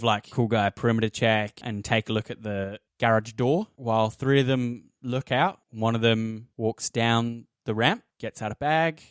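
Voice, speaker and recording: A man's voice: medium pitch, clean tone, no room sound, clean studio sound. A man narrating a tabletop game as game master in an informal, conversational way. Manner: informal, peppers descriptions with "like".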